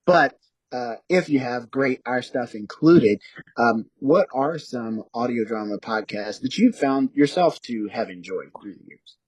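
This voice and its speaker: A man with a medium-pitched voice, recorded very dry, talks as an interview guest. He explains in a casual way, phrase by phrase, with hesitant starts. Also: a little slow, darkish tone, slightly rough, no echo, low-quality recording. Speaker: interview guest, audio drama producer.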